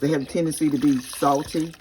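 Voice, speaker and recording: A woman with a raspy voice, chatting casually to her viewers in a home kitchen cooking vlog. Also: deep voice, steady tone, fairly quick, darkish tone, a little hiss or hum, rough recording. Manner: chatty, talks straight to viewers. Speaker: cooking vlogger.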